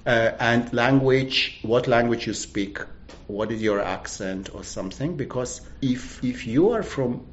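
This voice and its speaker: A man's voice: medium pitch, a little slow. A middle-aged man from Azerbaijan, a native Azerbaijani speaker, talking in a casual conversation as a guest, searching for words and often restarting his sentences. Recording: muffled with faint background hiss.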